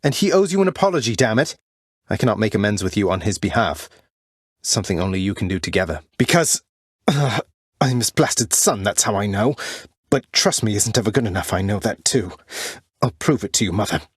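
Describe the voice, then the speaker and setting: Young underworld prince from a video game, lowish voice, super dry studio sound, earnest n defiant, kinda formal old-timey wording. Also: male voice, animated, husky, no room sound. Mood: insistent, impassioned. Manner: earnest, formal old-fashioned wording, halting when emotional. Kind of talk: video game character dialogue, dramatic acting.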